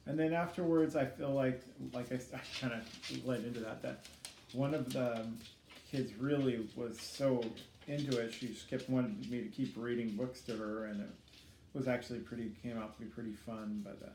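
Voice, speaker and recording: A man's voice: medium pitch, fairly even intonation. Middle-aged male interviewee, hesitant and reflective, casual delivery; echoey room, faint background noise. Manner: hesitant, halting, self-critical. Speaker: student teacher being interviewed.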